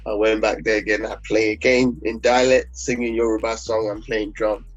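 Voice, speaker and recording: Husky Nigerian man, low voice. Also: little pitch movement, normal speed, dry recording. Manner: rambling, many restarts and fillers. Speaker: gospel singer guest. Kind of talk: relaxed interview conversation. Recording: dull lo-fi audio with faint music.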